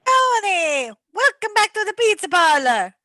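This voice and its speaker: A woman playing an eager, chatty pizza parlor worker in a comic radio play, fussy, halting and keen to please. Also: extremely high pitch, very animated, pushed and bright, no room sound, studio quality, full character voice. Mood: eager, welcoming.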